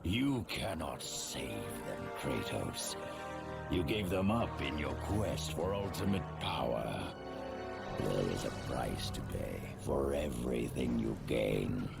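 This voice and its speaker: An actor voicing an arrogant, wrathful god of war in a video game, taunting and grandiose in a theatrical villain style. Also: male voice, a bass voice, sing-song, takes it slowly, creaky, exaggerated voice. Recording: loud music under the voice.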